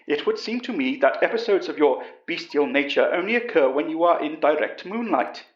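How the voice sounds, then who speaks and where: An earnest old English professor , played by a man, recounts a monster tale in a fairly high voice. It is first-person horror audio drama with a roomy, slightly muffled sound. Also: lively intonation, a little fast, lightly acted. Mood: reassuring.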